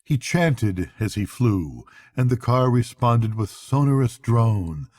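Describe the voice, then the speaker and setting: An older man narrating a bedtime story aloud as a storyteller, with measured, dramatic phrasing. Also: mid-range voice, very animated, a little mellow, no echo, clean studio sound. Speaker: storyteller, grandfather reading aloud.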